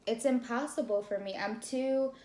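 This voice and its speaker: A young woman with a high-pitched voice, co-hosting a casual chat with her mother, frank and self-assured. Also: normal speed, warm tone, slight background noise. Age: young adult. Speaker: co-host, daughter. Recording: echoey room.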